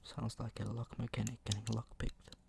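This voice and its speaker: A man speaking in a hushed murmur that slips into whispering, with a sore throat, casually showing items for ASMR. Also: mid-range voice, monotone, normal speed, creaky, background noise. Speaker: ASMR video creator. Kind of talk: ASMR, soft casual product show-and-tell.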